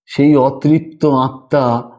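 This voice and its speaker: A man narrating a ghost story as a dramatic audiobook, with measured, expressive and suspenseful delivery. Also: medium pitch. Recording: dull lo-fi voice track over music. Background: background music.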